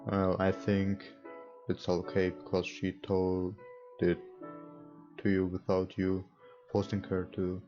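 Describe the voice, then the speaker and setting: Slow, low-voiced man over guitar music. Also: completely flat delivery, warm tone. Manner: hesitant, halting, searching for words. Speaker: let's player. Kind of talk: reading visual novel text aloud with casual commentary. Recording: dull lo-fi mic with music behind. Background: guitar game music.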